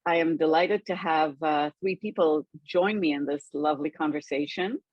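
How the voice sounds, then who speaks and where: A slightly dull, dry recording of a woman with a low, husky voice. She hosts informally, introducing guests and handing over to them. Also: normal speed, no room sound. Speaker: host.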